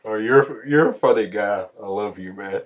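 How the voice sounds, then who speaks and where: A man's voice: fairly low pitch, moderate pace, dull audio, background noise. A man on a prank call, mocking and fake-apologetic, laughing between lines. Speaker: prank caller.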